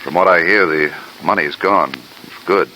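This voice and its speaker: An actor playing an anxious, loyal store assistant in a 1950s radio drama, with a hesitant, stammering manner. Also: male voice, deep voice, moderate pace, bright, forceful tone. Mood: friendly. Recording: noisy vintage radio recording.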